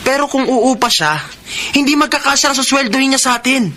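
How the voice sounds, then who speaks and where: A man's voice: very high voice, fairly quick, pushed and bright, slight background noise. A man playing a pampered, sulky young adult son in a radio drama, with a petulant, pleading manner. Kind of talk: Tagalog radio drama acting.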